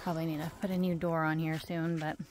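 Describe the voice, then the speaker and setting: Relaxed woman touring her garden outdoors. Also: low-pitched, fast, very noisy. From Idaho, USA. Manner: relaxed, chatty, trailing off between points. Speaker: homesteader giving a garden tour. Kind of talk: casual walking garden tour. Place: outdoor fenced garden on a rural property.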